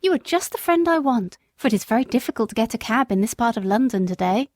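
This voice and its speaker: A woman voicing a genteel, gracious lady in a storybook reading, with a bright and refined manner. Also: a little high, lively intonation, quick pace, dry recording.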